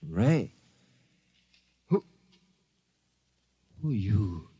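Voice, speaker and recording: An actor playing a beleaguered, stubborn investigator with halting, melodramatic old-time radio delivery. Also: male voice, on the low side, sing-song, mellow, slightly rough, heavily acted. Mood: groggy, confused. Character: beleaguered male investigator, groggy, frightened yet stubborn. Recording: noisy old radio drama recording.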